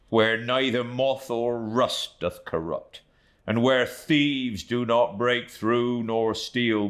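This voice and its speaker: An old fisherman, voiced by a man reading an audiobook, grieving and devoted. He speaks low and very slowly, in halting, heartfelt that moves between hushed and swelling. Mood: grateful, fervent. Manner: slow, emotional, halting storytelling with swelling and hushed moments. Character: grieving, devoted, rustic old English fisherman recounting his niece's ordeal.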